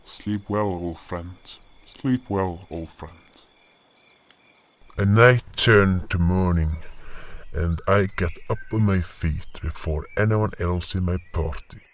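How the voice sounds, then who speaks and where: A man playing a weary, curious monster hunter, narrating his tale in a measured, deliberate way in an audio drama. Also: deep voice. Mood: reflective. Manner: measured, deliberate, pausing mid-sentence. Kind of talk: slow scripted first-person fantasy narration.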